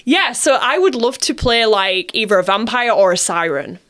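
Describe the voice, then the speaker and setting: A woman's voice: a little high, lively intonation, moderate pace, a bit bright. A woman, an actress being interviewed, talking informally and effusively in casual conversation.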